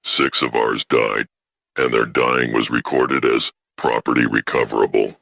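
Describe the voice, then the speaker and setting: Gravelly, very deep, monotone alien elder, as a man puts it on; tense and husky, dry close sound. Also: no echo, heavily acted. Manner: grave, plain, measured statements. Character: dignified grieving alien trade-clade elder.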